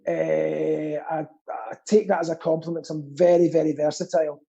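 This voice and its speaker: Very dark, husky man. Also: on the high side, flat delivery, moderate pace, dull audio. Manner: rambling, earnest, self-deprecating. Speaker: guest, pantomime actor and theatre stagehand. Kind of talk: casual chat.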